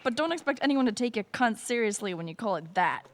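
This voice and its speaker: A brash fantasy rogue played by a woman, sardonic and foul-mouthed. Her voice sits a little high and swings widely as she needles people, with clear noise behind it. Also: expressive, quick pace, natural voice. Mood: teasing, crude. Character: brash, crude, rough-edged young woman rogue. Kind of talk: fantasy audio drama acting.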